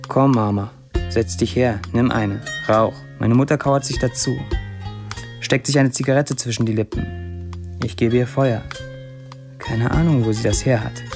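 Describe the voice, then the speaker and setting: A man acting as a young Syrian writer in a radio play, speaking in intimate, morbidly candid monologue. His pitch sits in the middle for a man, and soft music plays behind him. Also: steady tone, a little slow, lightly acted. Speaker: lead actor reading a writer's status posts. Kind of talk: first-person literary monologue in radio drama.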